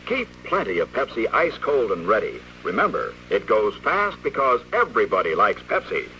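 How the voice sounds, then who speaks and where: A man plays an eager, polished radio pitchman. His voice is bright and swings widely in pitch, in 1950s advertising style. The old recording sounds dull and a little distant. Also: wildly swooping pitch, normal speed, slight background noise, full character voice. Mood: upbeat. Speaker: commercial announcer.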